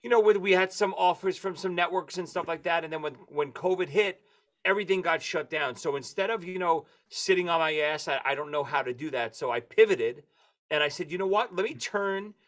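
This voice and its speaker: A man in his forties with a New York accent, a touch higher in pitch than most men. He tells his stories in a lively, animated way over a video call from his home. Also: fairly quick. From Brooklyn, New York. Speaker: guest drummer and author. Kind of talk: casual interview chat. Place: home room with lights and posters.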